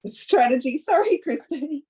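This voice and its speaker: A woman facilitating an online panel webinar, speaking in a measured way with some hesitations. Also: high voice, animated, warm tone. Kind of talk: panel moderation. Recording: dull lo-fi audio.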